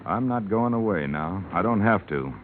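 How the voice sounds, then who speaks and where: An actor playing a sullen, frightened young American rancher in a radio drama, talking in terse, tense refusals. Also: male voice, on the low side, expressive, moderate pace, natural voice. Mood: bitter refusal. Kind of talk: 1950s radio drama acting. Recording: noisy, tinny old radio recording.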